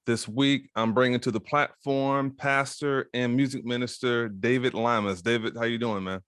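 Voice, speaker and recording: A man hosting a podcast, welcoming and questioning his guest in a relaxed, friendly way. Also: medium pitch, normal speed, smooth, no echo, clean studio sound. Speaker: podcast host. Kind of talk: casual interview hosting.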